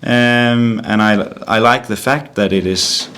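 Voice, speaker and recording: A man's voice: medium pitch, takes it slowly, fairly bright tone. A middle-aged man with a Danish accent answers press conference questions as a football manager, often restarting and repeating words as he searches for the phrase. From Denmark.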